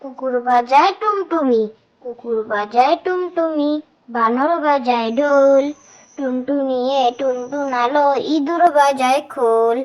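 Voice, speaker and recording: A high, childlike voice reciting nursery rhymes for children in a sing-song way, line by line. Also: a little slow, highs cut off.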